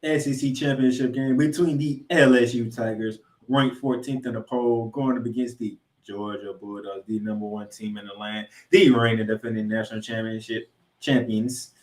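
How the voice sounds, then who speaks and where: A man co-hosting a sports podcast, previewing games and picks with drawn-out, emphatic announcer-style introductions. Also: mid-range voice, fairly even intonation, darkish tone. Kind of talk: college football game previews and picks. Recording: roomy, echoey sound.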